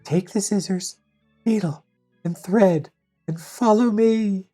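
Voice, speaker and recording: A woman's voice: medium pitch, slow, dark tone, faint music behind, pitched above the performer's own voice. A woman voicing a protective, loving old mother goat in a storybook, earnest and careful in her instructions. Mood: resolute.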